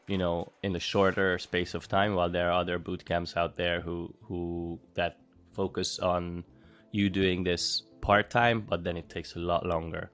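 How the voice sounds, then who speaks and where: A man from Hungary with a low, level voice that moves little in pitch. He answers interview questions in a relaxed, thoughtful way, often pausing with fillers and starting sentences over. Soft music plays faintly underneath. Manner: thoughtful, with frequent fillers and restarts. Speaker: interviewee, former bootcamp student.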